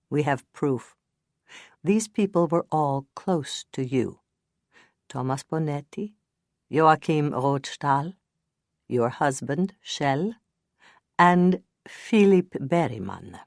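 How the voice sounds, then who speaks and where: A woman voicing a male detective who speaks slowly and quietly in a low, hypnotic voice. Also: animated, no room sound, clean studio sound, exaggerated voice. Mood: hushed.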